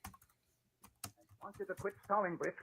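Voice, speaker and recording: An actor playing a gruff, impatient elderly father, blustery and demanding, in old-time radio drama style. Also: high voice, fairly even intonation, slow, a bit bright, full character voice. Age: older. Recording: muffled, band-limited vintage radio recording.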